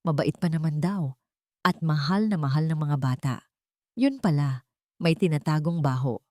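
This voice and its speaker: A woman's voice: deep voice, expressive, moderate pace, dark tone, dry recording, clean studio sound. A woman with a Filipino accent voicing a scheming younger woman in an audio story, pointed and insinuating under feigned sweet concern.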